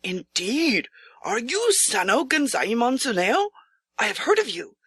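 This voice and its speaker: A woman's voice: on the high side, very animated, a little slow, fairly bright tone, husky, no room sound, studio quality, pitched above the performer's own voice, exaggerated voice. A woman voicing a courteous, kindly traveling priest who is a nobleman in disguise, speaking earnestly and formally for an audiobook. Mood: curious. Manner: earnest, kindly, formal old-fashioned speech. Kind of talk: audiobook character acting.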